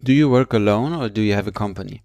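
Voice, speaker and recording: A man hosting a podcast in a casual, conversational way, with hesitant, drawn-out phrasing. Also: fairly low pitch, darkish tone, no echo. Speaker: podcast host.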